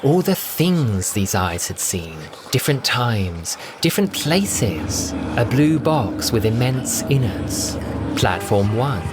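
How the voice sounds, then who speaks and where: A man narrating a scripted science-fiction story with a theatrical, expressive delivery. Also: medium pitch, slow, very noisy. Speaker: audiobook narrator and voice performer. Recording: loud music bed under the voice. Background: music.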